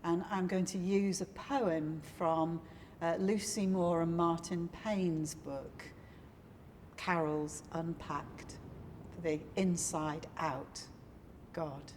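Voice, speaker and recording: Older lady vicar, slow lowish voice, measured delivery, big church reverb. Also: fairly even intonation, a little mellow, a little hiss or hum. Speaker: church minister. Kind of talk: church address and poem reading. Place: inside a large stone church. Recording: echoey church hall, faint music.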